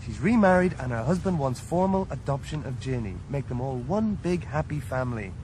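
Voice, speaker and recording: An actor playing a brisk, exasperated lawyer friend in a radio drama. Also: male voice, medium pitch, expressive, background noise, lightly acted. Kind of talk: 1980s radio drama acting.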